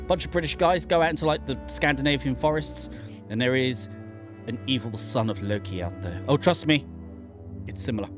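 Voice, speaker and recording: A man hosting a gaming channel, giving casual, wry commentary on a game. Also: medium pitch. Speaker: gaming channel host playing an indie horror demo. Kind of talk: casual game commentary and first-look review.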